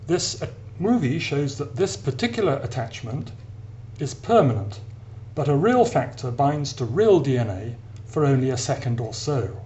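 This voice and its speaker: A man giving an explanatory science narration as an academic lecturer, in a measured, deliberate manner with pauses between phrases. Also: medium pitch, animated, darkish tone. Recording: clear background hiss and hum.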